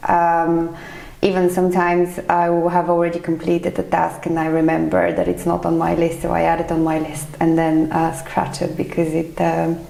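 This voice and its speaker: A woman's voice: lowish voice, completely flat delivery, moderate pace, vocal fry, dark tone, reverberant, low-quality recording. A woman from Bulgaria in her thirties, a finance professional, answering interview questions in a thoughtful, hesitant way with many fillers. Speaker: chief financial accountant, interview guest. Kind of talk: casual podcast interview.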